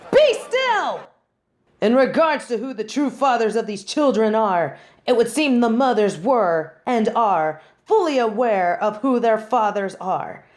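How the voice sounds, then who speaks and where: A woman playing a haughty, commanding angel judge in a scripted audio roleplay, speaking in a pompous, formal, theatrical manner. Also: fairly high pitch. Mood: indignant, declaring.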